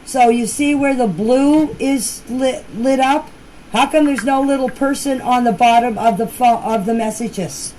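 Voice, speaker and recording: A woman leaving informal voice messages for a group chat, explaining things in a halting, repetitive way. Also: fairly high pitch, mellow. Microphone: phone. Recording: noisy phone voice clips.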